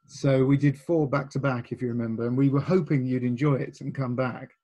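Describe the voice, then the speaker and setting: A man's voice: mid-range voice, level tone, a little fast, mellow. A man hosting a panel interview in casual, conversational style, feeling his way into his questions. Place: remote online video panel during lockdown.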